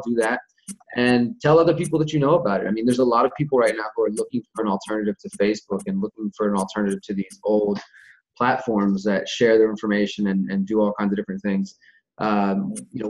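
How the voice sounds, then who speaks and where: Dull, lo-fi conference call audio carries the low voice of an American man. He hosts the call in an informal, conversational way, explaining his points step by step with everyday examples. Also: darkish tone. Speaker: host of a community organizing conference call.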